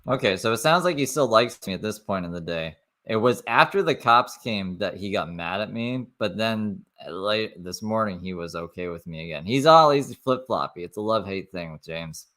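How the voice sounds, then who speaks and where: A low-voiced man gives casual commentary over someone else's livestream. He rambles and swears freely, with loud asides that drop to quiet mutters. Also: expressive, moderate pace, few pauses.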